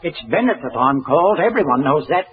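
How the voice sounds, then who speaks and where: An actor playing a bitter, deranged newspaper seller and vengeful widower in a 1940s radio drama. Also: male voice, on the high side, expressive, fairly quick, slightly rough. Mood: evasive. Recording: muffled, tinny 1940s radio recording.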